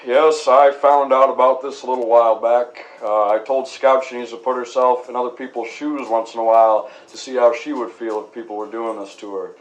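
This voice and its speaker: A man playing a measured, principled lawyer and stern father in an acted radio interview, formal in his speech. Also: medium pitch, fairly even intonation, a little hiss or hum, close to an everyday voice, poor audio. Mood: stern, admonishing. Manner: measured, formal, gently admonishing.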